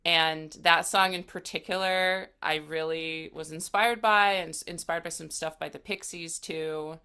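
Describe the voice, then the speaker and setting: Low, bright-voiced young woman chatting. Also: fairly even intonation, measured pace, clear, resonant tone. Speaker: musician guest, singer and guitarist. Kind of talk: casual interview chat.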